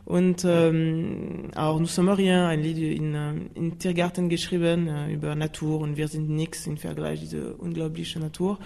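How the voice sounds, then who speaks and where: A woman from France with a French accent, a singer-songwriter guest speaking halting German full of fillers and restarts in a radio interview. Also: deep voice, flat delivery, vocal fry, mellow.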